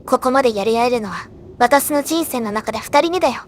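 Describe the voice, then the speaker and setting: A woman's voice: on the high side, lively intonation, a little fast, a little hiss or hum, natural voice. A woman voicing a playful, possessive, battle-hungry female death god in an anime drama, talking in a teasing, confident way. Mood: confident, friendly.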